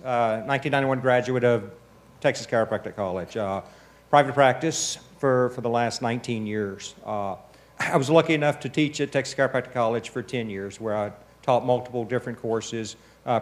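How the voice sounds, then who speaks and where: Male chiropractor, halting, modest self-introduction. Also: medium pitch. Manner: hesitant, with many uhs.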